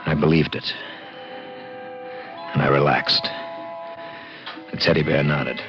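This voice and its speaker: Deep-voiced American mechanic telling his tale. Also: steady tone, slow, vocal fry, heavily acted. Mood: wondering, tense. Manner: folksy, emphatic, urgent. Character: plain-spoken male garage mechanic in his thirties, haunted storyteller. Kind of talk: 1950s radio drama narration. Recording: dull old radio recording with music.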